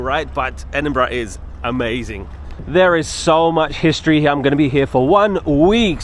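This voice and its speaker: A man's voice: mid-range voice, fairly bright tone, slight background noise. Enthusiastic English travel vlogger, man outdoors. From England. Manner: enthusiastic, repeats intensifiers. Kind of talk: casual walking travel vlog. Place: outdoors on city streets and in a park.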